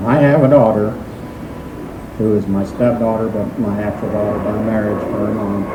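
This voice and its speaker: An older man telling his own story slowly and plainly at an open mic. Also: lowish voice, steady tone. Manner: slow, plain-spoken. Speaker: storyslam speaker. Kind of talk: personal story. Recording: echoey room with loud noise.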